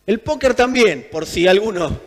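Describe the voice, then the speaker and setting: Argentine guy preaching, kinda high voice, really emphatic, repeats stuff, big echoey hall. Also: expressive, moderate pace. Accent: Argentine Spanish. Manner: emphatic, repeats phrases for effect. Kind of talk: sermon. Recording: long hall reverb.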